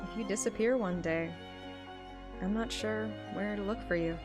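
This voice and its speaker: A woman live-streaming a game, playful and expressive, switching between casual reactions and reading dialogue aloud. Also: mid-range voice, moderate pace. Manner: playful, expressive, swears freely. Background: game music.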